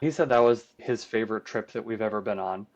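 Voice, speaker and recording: A man's voice: lowish voice, fast, highs cut off. A man whose first language is Croatian, explaining things conversationally as an interview guest. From United States, Croatian immigrant family. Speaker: podcast guest, trip guide and composer.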